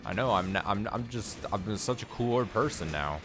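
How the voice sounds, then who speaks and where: A man with a very low, deep voice talks his way through a video game stream in a loose, chatty way. He gets excited over new gear and reads item text aloud as he goes, with faint game music under him. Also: normal speed. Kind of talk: casual gameplay commentary.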